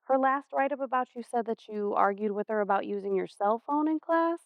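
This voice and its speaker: Stage role: actress as patient, reasoning American school counselor, crackly high voice, dull sound. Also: female voice, no room sound, natural voice. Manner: measured, reasoning, placating. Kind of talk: stage play acting.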